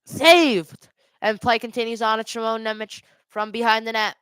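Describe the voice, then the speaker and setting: A woman's voice: fairly high pitch, steady tone, normal speed, fairly bright tone, clear, resonant tone, no echo. A woman doing live amateur hockey play-by-play and casual chat as host of a watch party, speaking into a headset.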